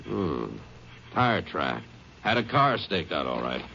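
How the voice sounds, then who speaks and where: A man's voice: rumbling low, big pitch swings, slow, vocal fry, pushed and bright, full character voice. A man playing a plainspoken, folksy country sheriff in a 1950s radio western drama, talking with a drawling delivery. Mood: observant. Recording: noisy, muffled 1950 radio recording.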